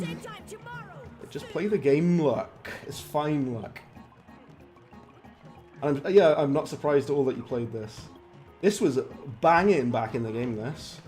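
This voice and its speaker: Chatty Welsh gaming streamer. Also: male voice, on the high side, darkish tone. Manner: chatty, laughs often, talks to chat. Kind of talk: live gameplay commentary. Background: game music and sound effects.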